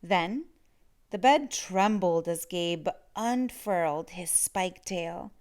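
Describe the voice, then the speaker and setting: A woman reading a children's story aloud in an expressive storytelling style. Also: on the low side, slow pace.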